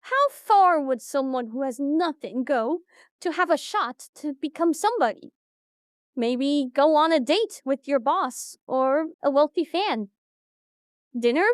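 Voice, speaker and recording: A woman's voice: sits high, lively intonation, slow pace, no room sound, clean studio sound. A woman in an animatic audio drama playing a resentful, sardonic young idol who is bossy and blunt. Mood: bitter, guarded. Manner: blunt, sarcastic, swearing.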